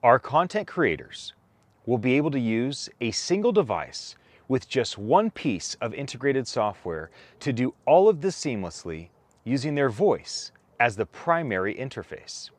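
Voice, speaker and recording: A man narrates a written paper aloud in a close, dry recording. His pitch moves in a lively way, and he sets out his phrases deliberately, giving weight to individual items. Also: dry recording. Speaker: co-author reading a whitepaper aloud. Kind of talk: read-aloud document narration.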